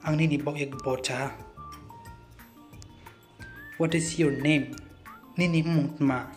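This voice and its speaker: A man with a Kaubru accent reads out short phrases slowly and deliberately, like a teacher drilling vocabulary. His voice sits a little high, and soft music plays underneath. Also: fairly even intonation. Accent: Kaubru (Bru) accented English. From Northeast India. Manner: slow, deliberate phrase-by-phrase reading. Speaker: channel host teaching phrases. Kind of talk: scripted vocabulary lesson. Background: background music.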